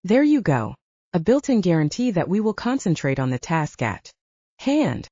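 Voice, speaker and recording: Woman acting a frank, teasing heroine, animated. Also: lowish voice, normal speed, breathy edge, dry recording, highs cut off. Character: candid, teasing young woman, romance heroine.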